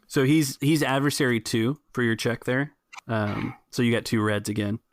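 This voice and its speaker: A man with a gravelly, fry-edged voice of middling pitch, recorded close and dry. He runs a tabletop game, thinking aloud as he narrates and explains rules. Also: steady tone, moderate pace, no room sound. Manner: conversational, improvising, thinking aloud. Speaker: game master. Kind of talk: casual tabletop roleplay narration and rules talk.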